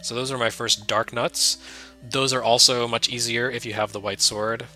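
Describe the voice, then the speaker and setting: A soft-spoken man giving loose, self-deprecating commentary while streaming a retro game, full of fillers and restarts. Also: mid-range voice, level tone, normal speed. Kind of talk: casual live-stream commentary. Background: game music under the voice.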